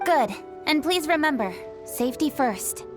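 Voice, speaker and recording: A woman's voice: sits high, animated, measured pace, over music. An actress playing a driven, exacting young female official in a video game, with a decisive, businesslike and outspoken manner. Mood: firm, businesslike. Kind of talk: video game cutscene voice acting.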